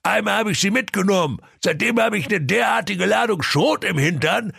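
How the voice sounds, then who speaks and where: A man voicing an irate, crude father in a comic fairy tale, blustering and insulting in a raspy, strained, creaky voice. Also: high voice, lively intonation, moderate pace, a bit bright, no echo, studio quality, pitched above the performer's own voice, full character voice. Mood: indignant.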